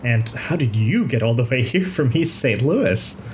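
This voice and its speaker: A kindly, considerate hospital pathologist played by a man in an audio drama. The talk is brisk and chatty, thinking things through aloud. Ambient noise is clear behind the voice. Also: mid-range voice, animated, darkish tone, natural voice. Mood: curious, concerned.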